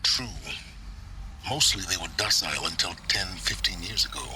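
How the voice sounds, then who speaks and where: A man playing an enigmatic, knowing older mentor in a video game, measured, wry and cryptic. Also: deep voice, natural voice. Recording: noisy game ambience behind.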